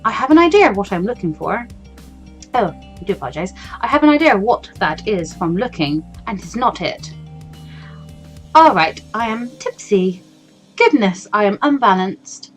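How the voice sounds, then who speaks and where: A woman's voice: mid-range voice, sing-song, normal speed. A woman hosting a game playthrough, reading story text aloud expressively and adding casual asides of her own. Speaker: let's play host. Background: faint game music.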